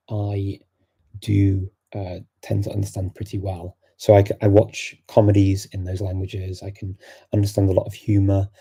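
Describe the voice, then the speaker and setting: Gravelly, flat-voiced man chatting. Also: deep voice. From England. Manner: thoughtful, full of fillers and restarts. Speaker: host answering viewer questions. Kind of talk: live-stream Q&A chat.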